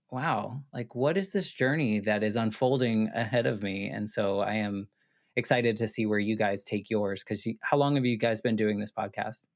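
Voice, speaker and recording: An American man hosting a podcast, asking curious, chatty questions with lots of fillers. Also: medium pitch. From United States. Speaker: podcast host. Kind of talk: casual interview conversation.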